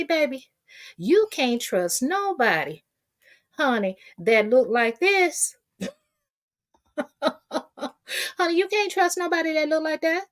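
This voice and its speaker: A woman giving casual gossip commentary as an online host, sassy and folksy, often repeating phrases for emphasis. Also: on the high side. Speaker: YouTube commentator and channel host.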